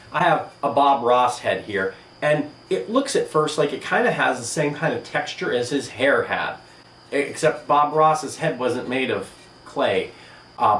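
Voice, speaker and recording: A man teaching an art lesson on video, speaking emphatically in short phrases with pauses. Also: mid-range voice, a bit bright. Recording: echoey room, distant mic, noisy.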